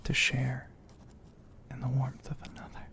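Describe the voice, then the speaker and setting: A man narrating an ASMR story in a breathy, hushed whisper, poetic and full of pauses, close to the microphone. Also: medium pitch, completely flat delivery. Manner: slow, hushed, poetic delivery with frequent pauses.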